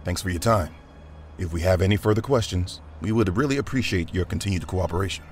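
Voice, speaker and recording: A man's voice: deep voice, a little hiss or hum, natural voice. A man playing a courteous police detective in an audio drama, speaking politely and formally. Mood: courteous, probing. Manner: polite, measured, official. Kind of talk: naturalistic audio drama acting.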